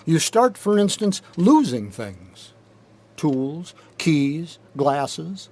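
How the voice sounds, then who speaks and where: A man with a slightly high-set voice performs spoken-word poetry in a studio. He takes his time, reading very slowly and deliberately. The phrasing is rhythmic and dramatic, with measured pauses between short lines. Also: sing-song, darkish tone. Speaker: poet reading own work. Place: recording studio.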